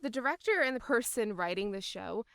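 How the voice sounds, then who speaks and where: A woman's voice: on the high side, animated, fairly quick, gravelly, clear, resonant tone, no echo, clean studio sound. A woman speaking as an interviewed voice actor, in casual, enthusiastic and emphatic conversation.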